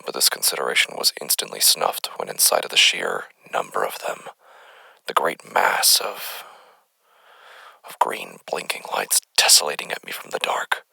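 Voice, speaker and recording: Gravelly, very low-voiced formal actor as a male investigator. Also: sing-song, sharp tone, no echo, heavily acted, poor audio. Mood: formal, measured. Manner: formal, deliberate, halting, wordy. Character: unsettled male doctor recording case findings on the paranormal. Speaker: investigator narrator. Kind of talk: horror audio drama monologue, formal dictated case log.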